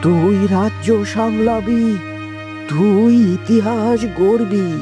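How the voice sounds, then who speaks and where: A man voicing a gentle, sorrowful woodcutter father in a fairytale cartoon, earnest and tender. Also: high voice, slow pace. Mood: tender, sad. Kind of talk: melodramatic fairytale cartoon acting. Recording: music behind the dialogue.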